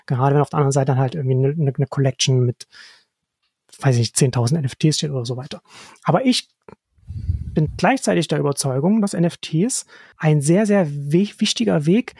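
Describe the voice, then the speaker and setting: Husky-voiced man, podcast guest. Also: on the high side, darkish tone, no room sound, clean studio sound. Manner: thinks aloud with restarts and tag questions. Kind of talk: casual podcast conversation.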